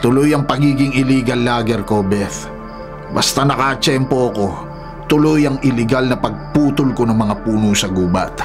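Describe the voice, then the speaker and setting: A man's voice: mid-range voice, moderate pace, natural voice. Man acting a ruthless Filipino illegal logger over music. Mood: defiant, cold. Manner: menacing, scornful. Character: ruthless, defiant illegal logger and domineering husband. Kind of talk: Tagalog radio drama acting. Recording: dramatic music behind the voice.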